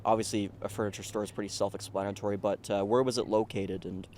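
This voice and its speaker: A man's voice: on the low side, level tone, fast, darkish tone, slight background noise. A man hosting a casual podcast interview, asking questions in a friendly, encouraging way. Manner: friendly, affirming. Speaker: podcast host.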